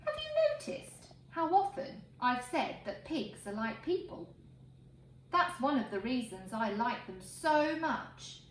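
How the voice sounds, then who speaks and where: Slow, lively woman reading a children's story. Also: on the high side. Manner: expressive, emphatic phrasing for young listeners. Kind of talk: animated picture-book read-aloud. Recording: roomy echo, faint background noise.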